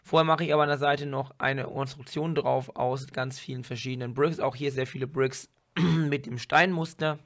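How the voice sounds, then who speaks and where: A man with a hoarse voice from a cold, hosting his building vlog in a casual, chatty way and correcting himself as he goes. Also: mid-range voice, fairly even intonation, normal speed, a bit bright. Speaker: vlog host and model builder. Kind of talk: casual chat and building voice-over.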